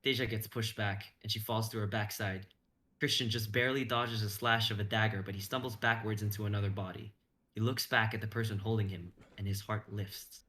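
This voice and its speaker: Young guy w a lowish voice reading out a story, intense and suspenseful. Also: steady tone, brisk, pushed and bright. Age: young adult. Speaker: stream host and narrator. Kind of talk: dramatic reading of narration.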